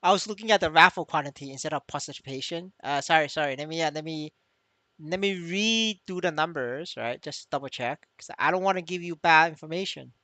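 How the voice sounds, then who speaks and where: Male gaming streamer, bright sharp tone, dry recording, stammering and repetitive, casual and sweary. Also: a little high, brisk, no room sound. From Vietnamese. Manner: stammering, repeats words, swears freely. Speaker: game streamer. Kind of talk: casual livestream chat.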